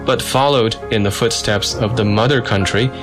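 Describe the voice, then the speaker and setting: Brief: low-pitched male narrator, measured first-person memoir reading, soft music underneath. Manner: measured, steady storytelling.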